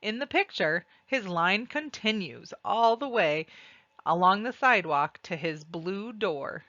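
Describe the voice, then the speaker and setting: A woman reading a children's storybook aloud and describing its pictures, with deliberate, expressive phrasing. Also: mid-range voice, no room sound, dull audio. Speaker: read-aloud narrator.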